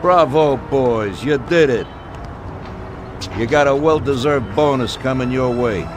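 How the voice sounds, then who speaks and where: Actor as a slow-talking genial mafia boss. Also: male voice, medium pitch, noisy background, lightly acted. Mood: pleased. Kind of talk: video game voice acting.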